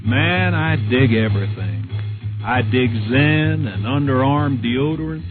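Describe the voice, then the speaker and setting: A man's voice: medium pitch, expressive, a little slow, close to an everyday voice. An actor playing a laid-back beatnik hipster man in an old radio drama, talking in hip slang. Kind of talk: 1950s radio acting. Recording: tinny old radio broadcast with music.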